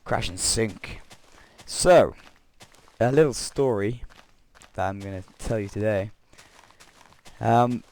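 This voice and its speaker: A young man with a croaky voice from being unwell, rambling through gameplay commentary and a story, correcting himself often with chuckling asides. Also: lowish voice, slow pace, a little mellow. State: croaky voice from illness. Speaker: Minecraft let's player.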